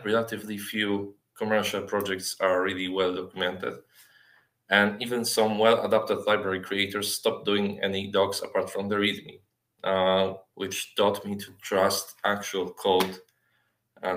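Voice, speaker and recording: A man in his thirties giving a technical presentation over a video call, speaking haltingly with frequent filled pauses. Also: lowish voice, level tone, a bit bright. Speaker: software developer giving a talk. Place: small plain indoor room, on a video call. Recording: roomy, echoey webcam sound.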